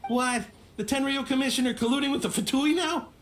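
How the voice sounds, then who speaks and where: A man's voice: very high-pitched, fairly quick, a little hiss or hum. A man playing a flustered, stammering merchant under suspicion in an anime game. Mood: surprised. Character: nervous, pleading male island merchant under suspicion.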